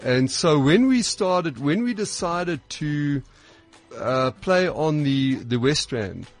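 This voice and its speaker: A man's voice: on the high side, expressive. Casting: man, guest organiser, stop-start delivery, faint music under. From South Africa. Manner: halting, many restarts. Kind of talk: radio interview.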